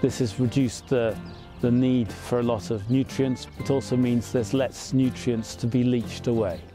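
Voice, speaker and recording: A man in his fifties, a farmer, explaining his farm practices in a measured, plain way, outdoors in an open field. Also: medium pitch, fairly even intonation, music in the background. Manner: measured, plain explanatory talk with small fillers.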